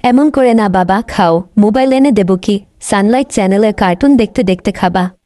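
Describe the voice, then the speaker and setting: A woman's voice: medium pitch, normal speed, mellow, no echo, studio quality, close to an everyday voice. A woman voicing a doting animal mother in a children's cartoon, coaxing and pleading.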